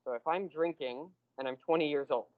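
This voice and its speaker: A man teaching a university math class, explaining step by step and slowing down on key terms. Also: a little high, no echo, narrow, tinny audio. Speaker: math lecturer. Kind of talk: classroom lecture.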